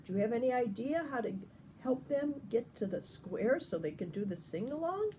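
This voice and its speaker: An older woman reading a children's picture book aloud with expressive, deliberate phrasing, like a grandmother talking to young listeners. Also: fairly high pitch, a little hiss or hum.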